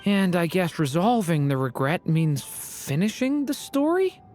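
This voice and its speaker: A woman voicing a gentle, polite, slightly shy and earnest young boy in a video game, with a soft, hesitant manner. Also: lowish voice, big pitch swings, a little slow. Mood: solemn, wondering. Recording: music behind the voice.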